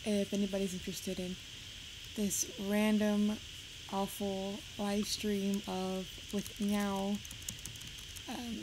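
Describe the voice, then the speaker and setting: A young woman with a Puerto Rican accent chatting on a livestream, talking fast and rambling nervously. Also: mid-range voice, little pitch movement. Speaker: live streamer. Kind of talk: rambling casual chat on a livestream. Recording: noisy home stream audio.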